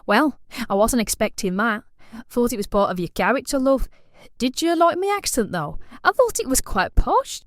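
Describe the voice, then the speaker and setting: A woman's voice: on the high side, big pitch swings, normal speed, dry recording, studio quality. An actress playing a bored, randy, blunt British suburban woman in an audio play, talking in a colloquial, cajoling way. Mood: chatty, mildly critical.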